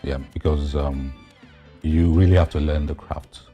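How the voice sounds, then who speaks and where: A man explaining things haltingly and deliberately as an interview guest. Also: very deep voice, fairly even intonation, warm tone, dull audio, soft background music. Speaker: guest actor.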